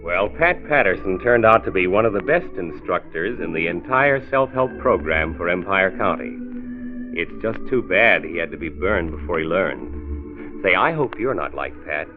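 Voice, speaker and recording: An American actor playing a reassuring, earnest county doctor who narrates a 1940s radio drama in a measured way. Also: male voice, low voice, expressive, music in the background. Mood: earnest appeal. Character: folksy, reassuring male county health commissioner telling his story. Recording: tinny, band-limited old radio transcription disc.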